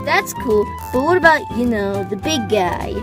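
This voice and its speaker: A woman's voice: a little high, expressive, moderate pace, loud music behind the voice, lightly acted. A woman voicing a cocky, casual young male hedgehog hero in an amateur cartoon comic dub, slangy and wry. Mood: friendly, curious.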